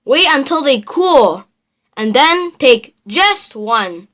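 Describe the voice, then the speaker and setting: Storybook mum voiced by a female reader: gentle, instructive, unhurried, melodic. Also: high voice, very animated, heavily acted. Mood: patient.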